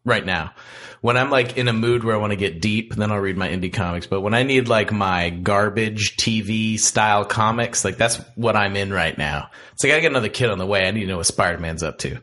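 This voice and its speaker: A young man co-hosting a casual podcast chat, joking and laughing, his voice low from a cough. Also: sharp tone. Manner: jokey, laughs often, talks quickly in bursts. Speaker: podcast co-host.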